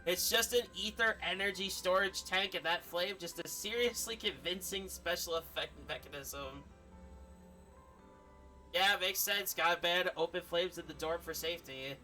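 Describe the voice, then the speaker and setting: A man's voice: high voice, normal speed, pushed and bright, soft background music. A man doing game streamer commentary and reading game text aloud.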